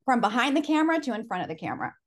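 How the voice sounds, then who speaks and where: A woman's voice: on the high side, very animated, rapid-fire. A woman in her forties, a life coach whose first language is Spanish, telling her story earnestly over a home video call. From Los Angeles. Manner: earnest, reflective storytelling with frequent laughs. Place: home office. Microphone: video call webcam.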